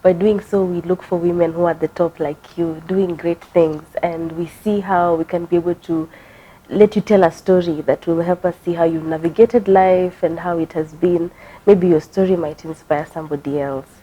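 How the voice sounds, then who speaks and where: A Kenyan woman hosts an interview, her voice mellow and on the lower side as she asks long, encouraging questions. She talks in a chatty, supportive way. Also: steady tone, normal speed, husky, dull audio. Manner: encouraging, chatty, frequent backchannel. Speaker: talk show host.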